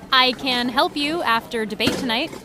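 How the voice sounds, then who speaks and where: A young woman playing a witty, defensive high school senior in a naturalistic teen drama, with a sarcastic, quick-witted manner. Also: over music. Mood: friendly. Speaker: actress.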